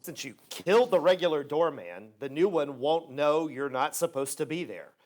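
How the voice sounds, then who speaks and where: A man playing a conspiring theater-world schemer in a radio play, smooth-talking and sardonic. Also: a little high, lively intonation, normal speed, a little mellow, lightly acted. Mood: conspiratorial.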